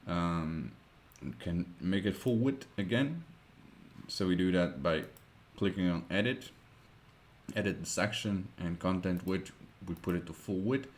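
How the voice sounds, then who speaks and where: A man with a Dutch accent, a Dutch native speaker, narrating a screen-recorded web design tutorial, thinking aloud with many pauses and fillers. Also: sits low, expressive, slight background noise.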